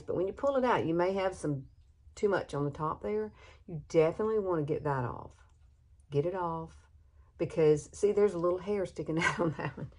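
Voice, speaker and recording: A woman in her sixties with a Southern US accent, chatting folksily through a makeup tutorial, filmed on a phone in her bedroom. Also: fairly low pitch. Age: 60s. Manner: chatty, folksy, laughs often. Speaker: beauty vlogger. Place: bedroom at home. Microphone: phone camera, no external mic.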